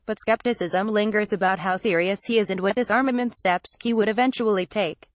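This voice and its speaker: A woman narrates news copy from a script in a formal, impersonal way. Her voice sits at a medium pitch. The recording is close and very dry, with no room sound around the words. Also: no echo. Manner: formal, impersonal reading that includes photo captions verbatim.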